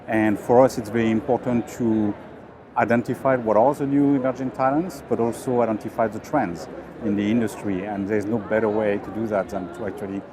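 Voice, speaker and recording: Measured corporate man, lowish voice, noisy street. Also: fairly even intonation. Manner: measured, with fillers. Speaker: corporate sponsor representative. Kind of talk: corporate interview. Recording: noisy urban ambience.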